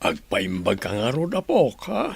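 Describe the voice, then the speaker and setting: Paos na lolo. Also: male voice, on the low side, wildly swooping pitch, unhurried, gravelly, husky, dry recording, full character voice. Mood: caring. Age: older. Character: older grandfather, head of household. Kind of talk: radio drama acting.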